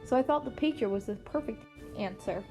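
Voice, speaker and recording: A woman's voice: a little high, fairly quick, close to an everyday voice. Woman playing a cheeky, nonchalant teenage boy vigilante. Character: fifteen-year-old boy vigilante, cheeky, blunt, nonchalant. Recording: music playing under the voice.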